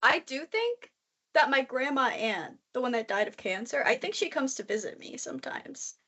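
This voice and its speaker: A woman's voice: high voice, very animated, normal speed, sharp tone, breathy edge. A young woman co-hosting a podcast, chatting casually and rambling with many fillers. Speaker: podcast co-host. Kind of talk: casual chat. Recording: muffled lo-fi recording.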